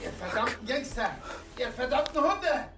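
A fearless, sociable Turkish shopkeeper in his fifties for a radio drama. His Turkish-accented speech swings widely in pitch, and music plays clearly behind him. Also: lively intonation, moderate pace. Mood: angry, defiant. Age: 50s. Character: older Turkish male kiosk owner, friendly but fearless. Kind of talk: naturalistic radio drama acting.

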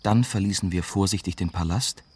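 An actor playing a young German journalist who narrates his adventure in measured first-person radio drama style. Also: low voice, a little mellow, slight background noise, close to an everyday voice. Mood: wry, measured. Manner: measured, storytelling. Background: prominent music.

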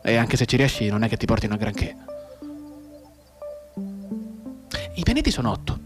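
An actor playing a maimed, despairing young soldier in a radio drama monologue, brooding and halting, moving between hushed and agitated delivery. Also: male voice, medium pitch, very animated, faint music behind, lightly acted. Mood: straining to remember.

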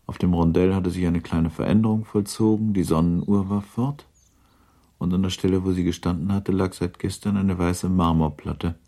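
A man's voice: sits low, fairly even intonation, normal speed, warm tone. A man narrating a literary radio drama in a sober, composed manner.

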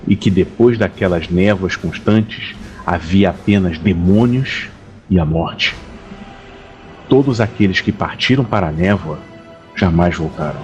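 A man playing a grave, weary warrior captain who narrates his expedition in an audio drama, solemn and measured. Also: low-pitched, a little mellow. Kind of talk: dramatic first-person scripted narration. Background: faint music.

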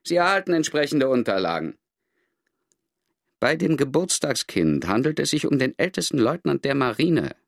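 An actor playing a self-important naval lieutenant with a formal, commanding manner in a radio drama. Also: male voice, mid-range voice, big pitch swings, normal speed, vocal fry. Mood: officious, commanding. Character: clipped, self-important male naval lieutenant giving orders.

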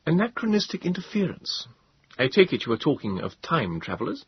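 A man doing a flamboyant, excitable young gent. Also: on the high side, a little fast, husky, no room sound, voice pushed up, heavily acted. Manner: theatrical, a touch hysterical. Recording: dull, dry lo-fi sound.

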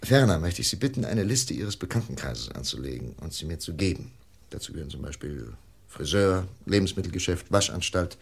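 Deep-voiced 1950s radio investigator. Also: male voice, expressive, gravelly, husky, exaggerated voice. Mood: methodical, official. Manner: measured, official, precise questioning and instructing. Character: composed, methodical male FBI investigator. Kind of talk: 1950s German radio crime drama acting.

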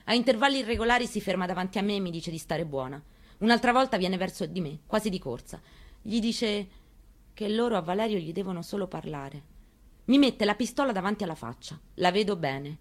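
An actress performing a first-person theatrical monologue reading, in a measured, intimate and quietly intense way. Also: female voice, mid-range voice, lively intonation. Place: radio broadcast of a stage reading.

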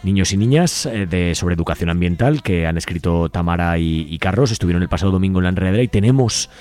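A man's voice: deep voice, lively intonation, fast talker, a little mellow. A man from Spain, reading out events as a radio co-host in an informal, wry, casual way.

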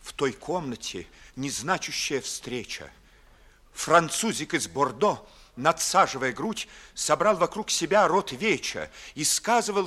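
A man's voice: on the high side, pushed and bright, full character voice. An actor playing a disillusioned, bitterly witty young nobleman in a 1950s radio verse comedy, declaiming rhetorically. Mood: sardonic.

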